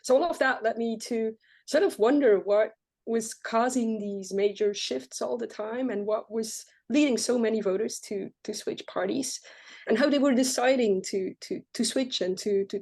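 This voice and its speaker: Mellow-voiced woman from Belgium, many restarts. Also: a little high, moderate pace. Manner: many restarts, repeated words. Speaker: author guest. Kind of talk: academic interview.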